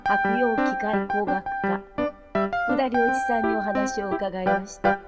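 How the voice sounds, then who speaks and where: A woman playing a poised radio announcer, introducing programmes in a polite, measured, formal way. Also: medium pitch, steady tone, natural voice. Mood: composed, formal. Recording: piano music over the voice. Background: prominent piano music.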